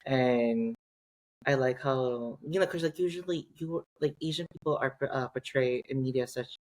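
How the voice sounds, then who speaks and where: A man, a Tagalog speaker, chatting as a guest on a podcast with lots of fillers and restarts. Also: mid-range voice. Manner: halting, many fillers and restarts. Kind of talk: casual podcast chat.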